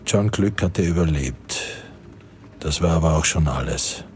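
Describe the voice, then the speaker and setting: An actor playing a solemn, measured narrator of a war story in a radio drama. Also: male voice, very deep voice, expressive, a little hiss or hum.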